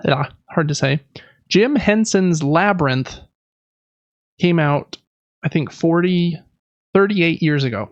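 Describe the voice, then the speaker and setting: A man's voice: a little high, no echo, lo-fi sound. A man hosting a podcast, talking casually and explaining things in an informal way. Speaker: podcast host. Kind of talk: casual podcast talk about board games.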